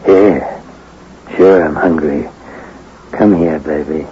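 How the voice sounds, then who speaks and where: An actor playing a hardboiled small-time thief in an old radio drama, narrating and talking in a tough, wisecracking way. The recording is dull, like an old radio broadcast. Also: male voice, very deep voice, fairly even intonation, slow, mellow, faint music behind. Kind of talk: 1950s radio drama acting.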